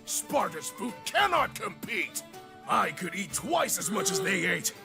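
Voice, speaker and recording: A man playing a boisterous, drunken Spartan warrior in a comic dub, gushing loudly with excitement. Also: high voice, a little slow, gravelly, over music, exaggerated voice. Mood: ecstatic, shouting. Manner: loud, over-excited, tipsy gushing. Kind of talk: scripted comic dub acting.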